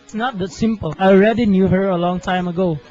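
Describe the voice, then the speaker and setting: A man's voice: high voice, steady tone, fast, a little mellow, lightly acted. A young man playing a lovesick, self-sacrificing hero in a student radio drama, earnest and melodramatic. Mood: earnest, defiant. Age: young adult. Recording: muffled amateur recording, music behind.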